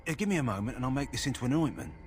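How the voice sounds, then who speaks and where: An actor playing a kindly, plain-spoken kennel master who tends war hounds in a fantasy video game. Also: male voice, mid-range voice, expressive, very fast, faint music behind, lightly acted. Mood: relieved, pleased. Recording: game audio with background noise. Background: game ambience.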